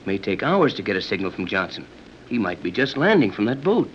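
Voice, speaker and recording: A man playing a steady, reassuring adventurer and inventor in a 1930s radio serial. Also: fairly low pitch, brisk. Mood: steady, earnest. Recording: dull 1930s radio recording, light hiss.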